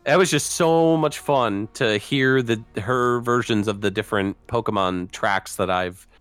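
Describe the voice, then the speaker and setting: A man's voice: on the low side, measured pace, a bit bright, smooth, dry recording. A man from Connecticut co-hosting a podcast, upbeat and joking, saying "like" a lot. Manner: upbeat, jokey, "like" often. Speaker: podcast co-host. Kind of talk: casual podcast chat.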